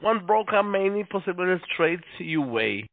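A man working as a phone agent, giving halting, repetitive step-by-step instructions over a narrow phone line. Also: sits high, big pitch swings, vocal fry.

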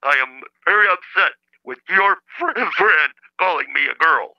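A man voicing an indignant caller in a comedy phone-in, with a stilted, insistent, synthesizer-like flat delivery over the telephone. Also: on the high side, normal speed. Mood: offended. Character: indignant male caller claiming to be a famous scientist's brother, robotic-talking. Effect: telephone call. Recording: dull lo-fi phone line.

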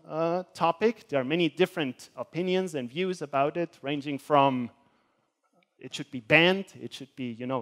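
A young man from Switzerland gives a guest lecture in a university hall. His voice sits slightly high, and he fills his pauses with hesitant "uh"s as he walks about the stage. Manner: hesitant, many filler sounds; gestures while speaking, walks around the stage. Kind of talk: academic talk with slides.

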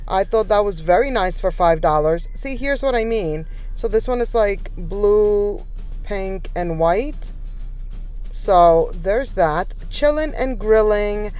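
A woman's voice: medium pitch, a little mellow, low-quality recording. A woman narrating a shopping vlog in a casual, enthusiastic, conversational way, talking straight to viewers. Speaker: shopping vlogger. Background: background music.

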